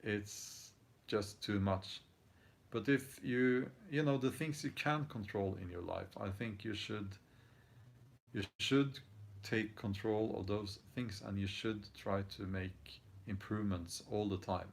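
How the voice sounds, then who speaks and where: A Norwegian-accented man in his forties talks slowly and thoughtfully, stopping often for ums and restarts. His voice sits fairly low and has a gravelly, creaky edge. He chats casually as he reads viewer comments. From Norway. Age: 40s. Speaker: channel host reading viewer comments. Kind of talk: casual live-stream chat.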